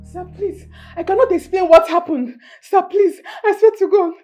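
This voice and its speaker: A woman's voice: very high voice, normal speed, warm tone, faint music behind. A woman with a Nigerian accent, playing a frightened house help who pleads desperately with her employers. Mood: pleading. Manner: desperate pleading, begging repeatedly. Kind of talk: skit drama acting. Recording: voice distant from the mic.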